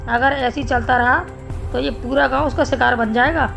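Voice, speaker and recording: A woman voicing a concerned male village doctor in an animated Hindi story, explaining things in a measured way. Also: high-pitched, gravelly. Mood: grave. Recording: music behind the voice.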